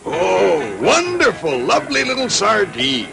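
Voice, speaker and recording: A man's voice: high-pitched, huge pitch swings, a little slow, gravelly, over loud music, heavily acted, poor audio. A man voicing a monstrous, gluttonous green fisherman in a dubbed cartoon, drawling theatrically with false courtesy. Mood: gloating, curious.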